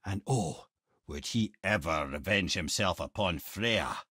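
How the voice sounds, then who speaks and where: A man's voice: low-pitched, lively intonation, a little slow, pushed and bright, husky, dry recording, clean studio sound, exaggerated voice. An actor playing a witty, learned old male talking head in a video game, with a Scottish accent and a wry, wordy, old-fashioned storytelling manner. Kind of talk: video game character dialogue, storytelling and battle callouts.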